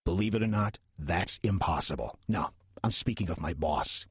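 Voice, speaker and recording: An actor playing a weary, sardonic demon posing as a church deacon in an audio drama, dry, urbane and condescending. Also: male voice, moderate pace, no room sound. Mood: wry, confiding. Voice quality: husky, low voice. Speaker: narrator and lead character of audio drama. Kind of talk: audio horror-comedy drama acting.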